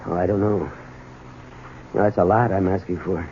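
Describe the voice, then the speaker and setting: An actor playing a troubled, desperate husband in a 1970s radio drama, halting and emotional. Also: male voice, deep voice, fairly even intonation, muffled recording, lightly acted. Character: troubled, desperate husband and small business owner, bitter toward his father. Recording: thin, noisy old radio broadcast.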